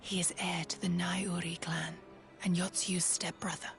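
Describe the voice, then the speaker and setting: A woman's voice: fairly low pitch, level tone, unhurried, vocal fry, faint music behind. An actress playing a loyal, dutiful female shinobi in a video game, formal and deferential. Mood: hushed, wary. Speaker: game voice actor. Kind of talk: scripted game dialogue.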